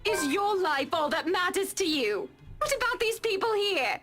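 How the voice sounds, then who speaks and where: Visual novel heroine: young woman, very high voice, earnest and distressed, music behind. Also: sing-song, normal speed, a bit bright, heavily acted. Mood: hurt, pleading. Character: young wife and women pleading, distressed, trying to be reasonable.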